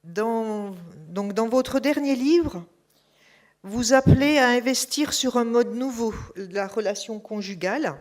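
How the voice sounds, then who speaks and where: A woman's voice: a little high, slow pace, a little mellow. A woman hosting an evening lecture, formally introducing the guest speaker and reading his career from notes. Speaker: event host introducing a speaker. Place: lecture hall.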